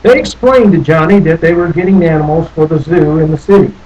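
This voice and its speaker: A man's voice: fairly high pitch, a little fast, darkish tone, dull audio. A man reading a children's story aloud expressively, theatrically lingering over phrases. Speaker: storybook reader. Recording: noticeable background noise.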